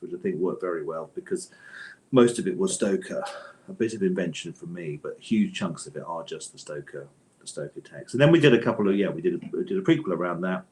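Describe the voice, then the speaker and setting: A man's voice: sits low, a little mellow. A man speaking as an interviewed author in a conversational, discursive way, often interrupting himself and repeating words.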